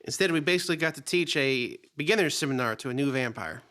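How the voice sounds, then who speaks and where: A man's voice: medium pitch, lively intonation, quick pace, bright, forceful tone. An actor playing a sardonic vampire son who casually corrects his father in a campy horror comedy. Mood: wry, exasperated.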